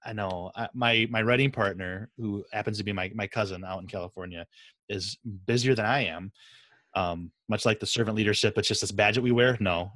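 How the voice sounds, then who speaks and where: A man's voice: lowish voice, fairly quick, a bit bright, no echo. A man interviewing casually as a podcast host, with an enthusiastic, effusive manner.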